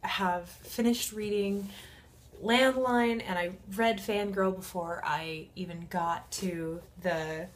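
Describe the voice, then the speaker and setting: A woman in her twenties chatting casually to camera in a halting, informal, self-correcting way, as a book vlogger. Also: medium pitch, fairly even intonation. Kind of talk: casual vlog chat. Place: small room at home.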